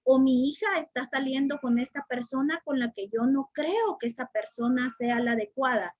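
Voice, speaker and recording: A woman's voice: sits high, moderate pace, muffled recording. A woman teaching a spiritual study class, speaking reflectively and repeating phrases for emphasis. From El Salvador.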